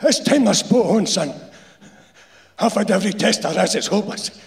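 A man's voice: high-pitched, lively intonation, normal speed, vocal fry, pitched above the performer's own voice. A man voicing a bitter, dying shipyard insulator with a Glasgow accent, blunt and emphatic, in a live story reading. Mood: bitter, resigned. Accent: Scottish, Glasgow. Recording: echoey hall, live stage PA.

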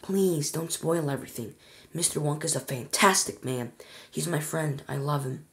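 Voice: medium pitch, sing-song, fast, pitched above the performer's own voice, natural voice. A storybook reader voicing an earnest, loyal young boy who speaks in a pleading, questioning way.

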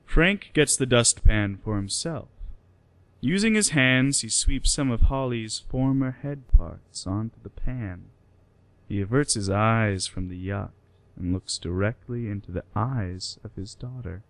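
A man's voice: lowish voice, lively intonation, unhurried, dry recording, low-quality recording. A man narrating a scripted radio play in the present tense.

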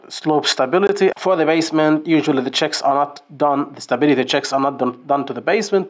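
A man teaching an engineering lesson over a screen-share drawing, explaining step by step with fillers and restarts. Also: fairly high pitch. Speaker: engineering instructor. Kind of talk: whiteboard tutorial. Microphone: screen recording voice-over.

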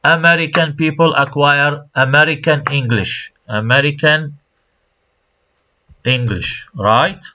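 A man's voice: on the high side, steady tone, takes it slowly, sharp tone. A man with an Iraqi Arabic accent giving a recorded online lecture. He repeats key phrases and puts rhetorical questions to his students. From Iraq. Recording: dull lo-fi screen-recorded lecture audio.

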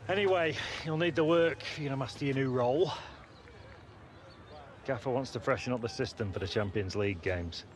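A man playing a gruff, teasing middle-aged football coach in a video game. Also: mid-range voice, brisk, a little hiss or hum, lightly acted. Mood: firm, instructive. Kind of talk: video game cutscene dialogue.

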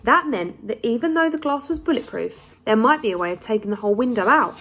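A woman in her thirties reads a children's storybook aloud like a teacher, deliberate and expressive, with dramatic pauses. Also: on the high side. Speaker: primary school teacher reading aloud. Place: small plain room indoors.

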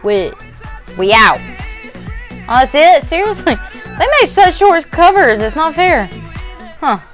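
A young woman making a casual reaction vlog at home, chatty and upbeat, muttering low while she watches, recorded on a lapel mic. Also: sing-song, slow, fairly bright tone, faint music behind. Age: young adult. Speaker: YouTube reaction vlogger. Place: bedroom at home, indoors.

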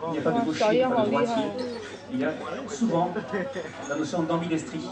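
A man's voice: a little high, lively intonation, fast talker. A man giving live commentary as a martial arts master at a demonstration, explaining instructively in short phrases. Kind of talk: live spoken commentary for an audience. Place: restaurant hall during a public demonstration. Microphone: handheld microphone, heard through a far camera. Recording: distant camera, echoey room, loud crowd.

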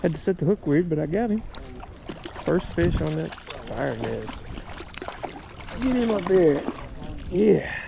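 A man with a high, creaky voice talks in a folksy, exclamatory fishing-vlog style. He is recorded outdoors with clear ambient noise around him. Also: expressive, measured pace, pauses often. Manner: folksy, exclamatory, mild swearing. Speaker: fishing vlogger. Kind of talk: casual excited chat while fishing. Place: outdoors at a trout stream.

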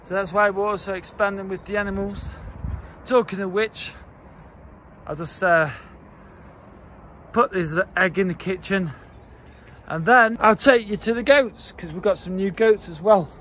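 Chatty high-voiced man walking his farm. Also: measured pace, a bit bright, slight background noise. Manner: chatty, rambling, frequent fillers and restarts. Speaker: farm owner vlogger. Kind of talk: casual walk-and-talk vlog. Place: mountain farm, mostly outdoors, partly in a wooden kitchen. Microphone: phone held at arm's length.

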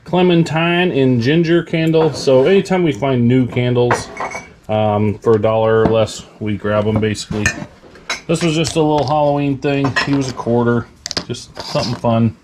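A man's voice: medium pitch, fairly even intonation, normal speed. An American man talking casually as a reseller showing his finds. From United States. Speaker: reseller and vlog host. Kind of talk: casual haul chat. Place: cluttered indoor workroom. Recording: noisy room, clinking items handled.

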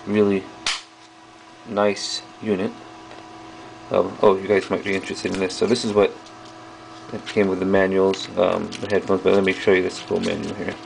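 A man giving a casual hands-on product review, speaking haltingly in short pieces as he handles things. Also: low voice, completely flat delivery, vocal fry, a little hiss or hum, poor audio. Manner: halting, piecemeal delivery while handling items. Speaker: video host reviewing old electronics. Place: small indoor workroom at a bench.

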